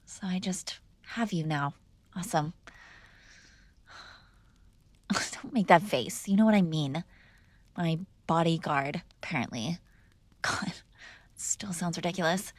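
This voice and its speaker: A woman's voice: fairly low pitch, a little slow, no room sound. A woman playing a mob boss's spoiled, defiant daughter in an intimate ASMR roleplay, teasing and sarcastic in a conversational way, close to the microphone. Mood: wry, teasing. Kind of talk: ASMR girlfriend roleplay, intimate one-sided dialogue.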